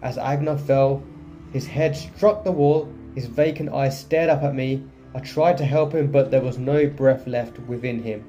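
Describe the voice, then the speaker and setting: A man's voice: medium pitch, dark tone. A man doing gameplay commentary and reading story text aloud from the game. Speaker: gaming video creator. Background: game music.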